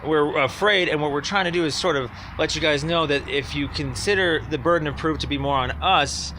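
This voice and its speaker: A man's voice: on the high side, bright, forceful tone, poor audio. Rambling male caller over heavy background noise. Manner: hesitant, rambling, halting explanations. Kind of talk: phone conversation, arguing a complaint.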